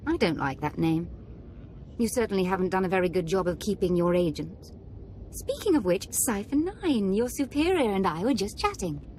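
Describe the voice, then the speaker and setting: A woman's voice: medium pitch, big pitch swings, normal speed, a little mellow, slight background noise, natural voice. An actress playing a capricious, sadistic young noblewoman in a game cutscene, imperious and playfully menacing. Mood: mocking, haughty. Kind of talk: video game cutscene acting.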